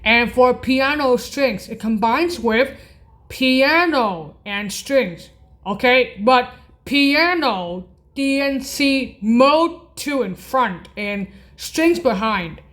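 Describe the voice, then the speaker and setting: A woman giving an informal music tutorial in a halting, repetitive way, correcting herself as she goes. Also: on the high side, animated, a bit bright. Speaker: music practice video host. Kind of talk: informal spoken tutorial naming keyboard voice effects.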